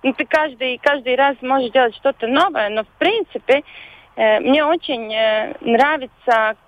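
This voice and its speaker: A woman with a Latvian accent, whose first language is Latvian, speaks as a guest on a radio show by phone. She talks hesitantly and often pauses to search for words. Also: high voice, no echo. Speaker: volunteer guest. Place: phone call into a radio studio. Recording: dull lo-fi phone line.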